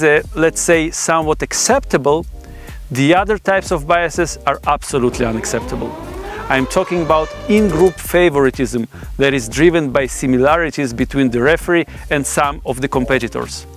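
Male presenter, 40s, voice a bit on the high side, formal academic scripted delivery, light music underneath. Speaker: presenter explaining research. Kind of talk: scripted explainer narration. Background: background music.

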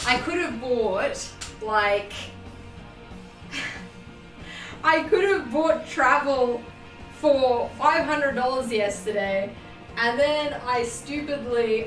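A young Australian woman chatting to her livestream viewers, animated and self-mocking, laughing often. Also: fairly high pitch. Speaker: livestream host. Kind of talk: casual livestream chat. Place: home sewing room. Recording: roomy, distant, faint music behind.

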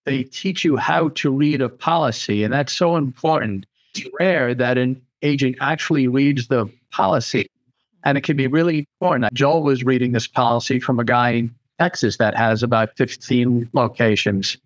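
A man's voice: mid-range voice, moderate pace, no room sound, poor audio. A man, an insurance agent guest, talks earnestly and with emphasis in an interview. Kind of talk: conversational interview.

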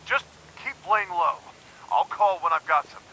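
Earnest, lively American lawman. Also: sits high, moderate pace, background noise. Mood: concerned. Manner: earnest, urgent, instructing. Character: earnest, protective lawman, cautious straight man to rebel. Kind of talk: video game voice acting. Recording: game music behind the voice.

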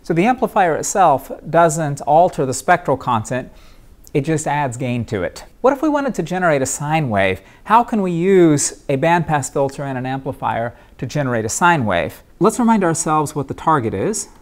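A man's voice: on the high side, sing-song, moderate pace. A young man, a professor, teaching methodically in an electronics lab on a lapel mic, asking and answering his own questions. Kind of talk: explaining with drawings.

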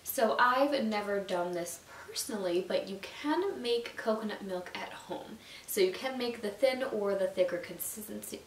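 Stuffy-nosed young woman vlogging from a sofa. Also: mid-range voice. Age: young adult. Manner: chatty, lots of fillers like "you know" and "like". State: stuffy, slightly nasal from a cold. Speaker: YouTube nutrition vlogger. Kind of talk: casual explainer talking to camera. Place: indoors at home, seated on a sofa. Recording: roomy echo, distant mic, faint hiss.

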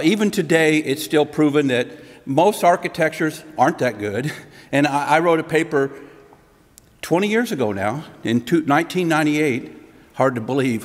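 A middle-aged man speaking at a podium in a hotel conference room, giving an interactive, conversational talk as a consultant. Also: fairly high pitch. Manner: conversational, restarts, laughs at own jokes. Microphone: podium mic. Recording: long hall reverb.

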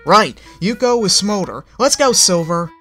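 A man's voice: high voice, animated, bright, forceful tone, faint music behind, pitched above the performer's own voice, heavily acted. A man voicing an eager, upbeat young male earth pony who talks in a hurry. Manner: eager, hurried.

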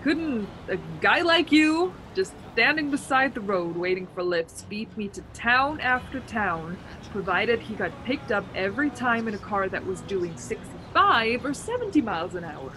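A woman's voice: fairly high pitch, sing-song, normal speed, few pauses, sharp tone, a little hiss or hum, rough recording. An actress playing a frightened, desperate woman driver in a suspense radio play, with dramatic intensity. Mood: friendly, probing. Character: frightened, increasingly desperate woman driver haunted on a road trip. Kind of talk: suspense radio play acting and first-person narration.

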